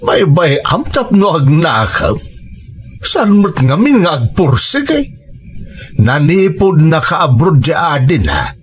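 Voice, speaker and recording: Man playing an indignant father, high, swooping voice. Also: sing-song, slow pace, soft background music. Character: indignant, protective father of grown children. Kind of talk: dramatic family dialogue.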